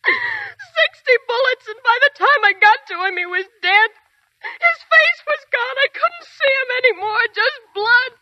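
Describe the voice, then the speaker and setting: A bitter, frozen army nurse, high and bright. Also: female voice, big pitch swings, a little slow, dry recording, heavily acted. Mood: sobbing. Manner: cold, clipped and hostile, breaking into sobs. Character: bitter grieving young female army nurse. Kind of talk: 1940s radio acting. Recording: dry muffled 1940s radio broadcast.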